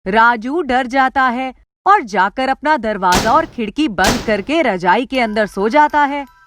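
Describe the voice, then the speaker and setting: A woman's voice: on the high side, animated, a little slow. A woman reading scripted story narration in a storyteller's role. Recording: music behind the voice.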